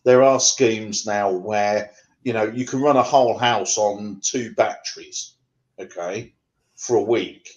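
Middle-aged English poet, rhythmic rhyming delivery, home video call. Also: male voice, on the low side, measured pace, dull audio. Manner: rhythmic rhyming recitation, then conversational with fillers. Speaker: poet guest. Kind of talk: poetry reading then interview answers. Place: home room.

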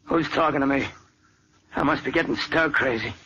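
An actor playing a nervous, defiant gangster in a jail cell, talking in jittery street slang, in 1940s radio acting. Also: male voice, mid-range voice, normal speed, pushed and bright. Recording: dull old radio recording with hiss.

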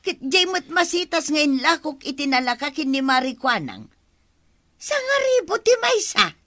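An aggrieved village plant seller for radio comedy. The voice is high and bright, with big swings of pitch. She is whiny and exclaims melodramatically. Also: big pitch swings, normal speed. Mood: dismayed. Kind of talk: radio comedy drama acting.

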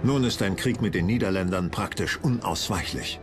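A man's voice: low-pitched, normal speed, over music. A man reading scripted narration for a historical documentary.

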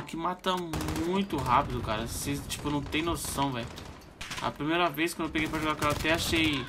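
A man's voice: a little high, noisy background. Stuffy-nosed Brazilian gamer guy. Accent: Brazilian Portuguese. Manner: relaxed slangy chatter, talks to viewers. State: stuffy nasal voice from a cold. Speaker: gaming channel host. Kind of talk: casual gameplay commentary. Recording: loud game gunfire over the voice.

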